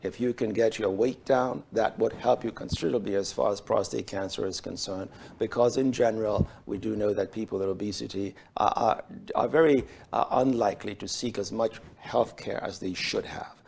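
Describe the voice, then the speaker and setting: A middle-aged man from Switzerland, a urologist guest on a TV health show, speaking in a measured, formal way with frequent fillers. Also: fairly low pitch, steady tone, creaky, a little mellow, slight background noise. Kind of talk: TV health interview. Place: bright TV studio set.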